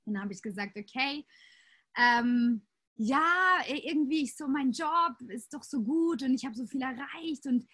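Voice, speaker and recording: Woman, late twenties, from Austria, yoga teacher, chatty, bright tone. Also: high voice, unhurried, slightly rough. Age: 20s. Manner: lively storytelling with many fillers. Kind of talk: casual interview talk.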